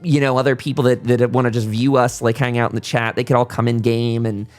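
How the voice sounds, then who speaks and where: A man's voice: mid-range voice, flat delivery, fast talker, soft background music. A man with a mid-range voice hosts a casual chat show. He sounds enthusiastic and folksy, wandering from one thought to the next in a chatty way. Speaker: podcast host.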